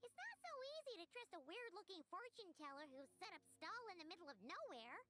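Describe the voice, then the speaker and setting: A woman's voice: very high voice, very animated, a little fast, pushed and bright, slight background noise, heavily acted. A woman voicing a feisty, distrustful little cat girl in a video game, with a childlike, pouty and emphatic delivery. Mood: suspicious. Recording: game music behind. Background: game music.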